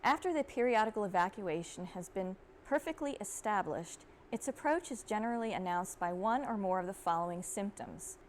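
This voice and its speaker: A woman's voice: medium pitch, darkish tone. Formal woman reading aloud, noisy recording. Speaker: university archivist, reader. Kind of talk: dramatic reading of an old medical treatise.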